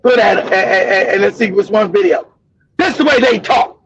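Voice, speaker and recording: A man with a strikingly high voice and a rough, gravelly edge rants at full volume, full of mockery and swearing. His heated commentary comes through dull, lo-fi stream audio. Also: normal speed. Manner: loud, profane, mocking ranting. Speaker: show host.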